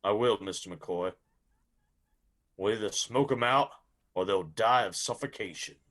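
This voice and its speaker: A man playing a blunt, grimly firm country lawman in a radio drama, with an Appalachian accent and a measured, folksy manner. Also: fairly low pitch, fairly bright tone. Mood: grimly determined.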